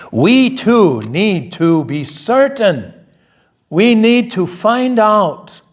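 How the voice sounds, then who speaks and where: A middle-aged male pastor preaching a bilingual sermon in a church, loud and emphatic, firing off rhetorical questions and shifting between Korean and English. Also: high voice. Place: church sanctuary.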